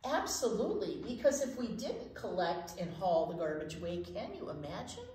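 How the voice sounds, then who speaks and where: An older woman talks and reads to small children with a very animated pitch range. Her delivery is simple and emphatic, in a roomy, echoing space. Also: normal speed. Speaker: teacher, children's storytime co-host. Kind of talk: children's storytime chat and read-aloud. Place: indoor library storytime set, seated. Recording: roomy echo, faint background noise.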